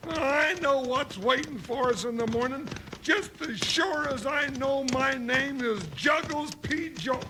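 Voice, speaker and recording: An actor playing a folksy, excitable, loyal cowboy sidekick in a 1950s radio western, full of homespun slang. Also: male voice, very high-pitched, a little slow, few pauses. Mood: comic worry. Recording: noisy vintage radio recording.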